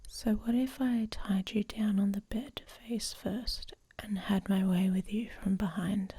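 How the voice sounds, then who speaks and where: A woman playing a dominant, teasing female werewolf in an intimate ASMR audio roleplay, with a breathy, whispery voice and a commanding manner. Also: medium pitch, fairly even intonation, unhurried, dark tone. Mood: seductive, commanding.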